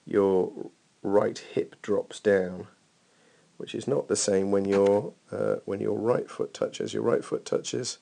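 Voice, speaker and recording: Man, low voice, strongly creaky, informal coaching commentary, hesitant, thinking aloud. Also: dark tone. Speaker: running coach. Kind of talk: informal technique commentary over training video.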